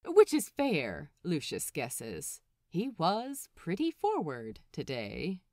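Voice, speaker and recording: A woman narrates a humorous story with dramatic, storybook expression. Her pitch roams very widely while the pace stays measured. The sound is dry and free of room echo. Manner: animated, dramatic delivery. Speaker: podfic narrator. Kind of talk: expressive storybook narration of comic fan fiction.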